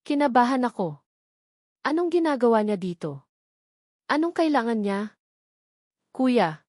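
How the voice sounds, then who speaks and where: A woman's voice: on the high side, very animated, moderate pace, darkish tone, no room sound, studio quality. A woman reading a scripted first-person love story as a dramatic narrator. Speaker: narrator of a romance audio drama.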